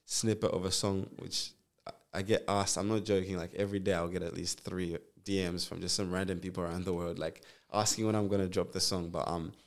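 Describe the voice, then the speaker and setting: Brief: very low-voiced young male guest from Zambia, easygoing and rambling. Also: fairly quick, creaky. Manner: relaxed, rambling, many fillers and restarts. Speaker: guest, nurse and musician. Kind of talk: casual interview chat.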